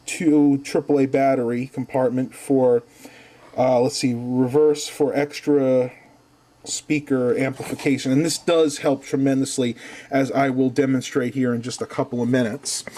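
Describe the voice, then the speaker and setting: A man's voice: mid-range voice, little pitch movement, unhurried, warm tone. Male online video host, mid-range voice, casual review delivery, chatty step-by-step explanations. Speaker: YouTube channel host.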